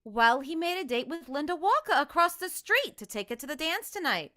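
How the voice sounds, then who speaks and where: She is a fretful housewife in an old-time radio comedy, played by a woman, scolding and worried. Her voice is bright and sharp, with a lively pitch, and it sounds close and dry. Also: brisk, no room sound, full character voice. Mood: exasperated. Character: exasperated worried housewife and mother. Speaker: radio play actor. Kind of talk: 1950s radio sitcom acting.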